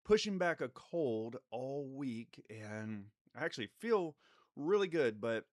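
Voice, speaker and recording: A middle-aged man, stuffed up from a cold, talks casually to his live-stream viewers at an average pitch. He wanders through his points with frequent ums and restarts. The sound is dry and close, with no room echo. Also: slow. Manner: relaxed and meandering, many fillers and restarts. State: congested from a cold. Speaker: family therapist hosting a live chat.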